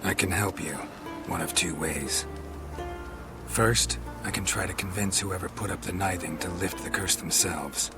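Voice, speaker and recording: A weary, gravelly monster hunter for a fantasy game. His pitch is very low and his delivery clipped and dry, with clear music playing behind him. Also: unhurried. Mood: deadpan. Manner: clipped, deadpan.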